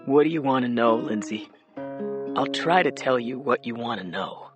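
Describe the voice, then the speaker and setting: A woman reader voicing a weary, secretive middle-aged husband who speaks low and apologetically. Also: expressive, moderate pace, music in the background, natural voice. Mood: subdued.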